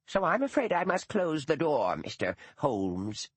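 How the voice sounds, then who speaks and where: A man's voice: on the high side, wildly swooping pitch, husky, no echo, pitched above the performer's own voice, heavily acted. A man doing a dour old schoolteacher lady. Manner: prim, precise diction, slow and frowning. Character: stern elderly retired schoolteacher woman, dour.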